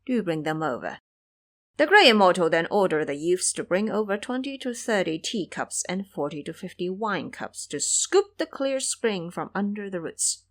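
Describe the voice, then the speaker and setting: Female narrator for audio drama, measured storytelling delivery, very varied intonation. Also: medium pitch, animated.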